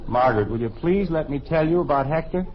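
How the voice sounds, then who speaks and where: A man's voice: on the high side, lively intonation, quick pace, natural voice. An American actor playing a genial but easily exasperated middle-aged father in an old radio family comedy, with a dry, affable manner. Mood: mildly exasperated. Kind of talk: 1950s American radio family sitcom acting. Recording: muffled, hissy old radio recording.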